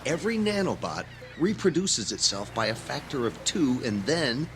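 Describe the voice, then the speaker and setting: A man's voice: medium pitch, big pitch swings, moderate pace, a bit bright, faint music behind. A man acting in an audio drama as an earnest, idealistic young graduate student with an intense, preachy manner. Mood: earnest, explaining.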